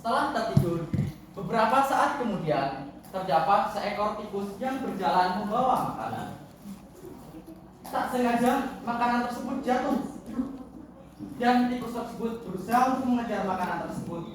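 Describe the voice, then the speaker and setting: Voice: mid-range voice, big pitch swings, moderate pace. A contest storyteller narrating a tale in a theatrical, declamatory way. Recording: echoey hall, distant mic, noisy.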